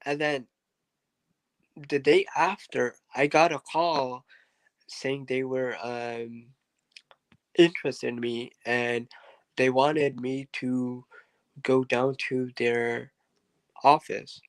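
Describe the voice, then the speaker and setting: A man speaks at an unhurried pace, his voice carrying a rough, gravelly edge. He tells his story as a podcast guest, rambling through fillers and asides. The sound is dry, with no room echo. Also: mid-range voice, flat delivery. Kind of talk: casual interview storytelling.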